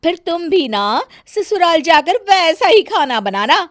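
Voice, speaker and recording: A woman's voice: very high voice, sing-song, unhurried, no echo, clean studio sound, voice pushed up, full character voice. A woman voicing a nagging, boastful young Indian daughter-in-law in a storybook tale, with a scolding, self-important manner.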